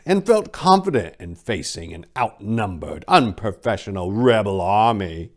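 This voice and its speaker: A man with a fairly low voice reads a scripted tale as a dramatic narrator. His delivery is theatrical and deliberate, swelling with emphasis on key phrases. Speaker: podcast host and narrator.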